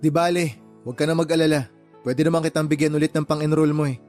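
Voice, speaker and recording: A man's voice: on the high side, fairly even intonation, fast, a little mellow, soft background music, close to an everyday voice. A man acting in a radio drama as a caring but easily exasperated uncle, speaking emphatically and with concern. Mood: reassuring.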